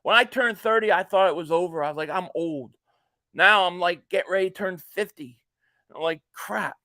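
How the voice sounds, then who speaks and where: High-voiced chatty man in his forties. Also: moderate pace, pushed and bright, no room sound. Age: 40s. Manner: rambling, chatty, jokey asides. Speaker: live stream host reacting to a football game. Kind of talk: casual live stream chat, reading viewer comments aloud.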